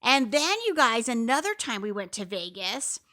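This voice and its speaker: A woman's voice: fairly high pitch, very animated, moderate pace, bright, forceful tone. A woman in her fifties who co-hosts a casual podcast, telling stories and often turning to her listeners. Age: 50s. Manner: chatty storyteller who keeps addressing listeners. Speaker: podcast co-host, mother. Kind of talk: casual chat.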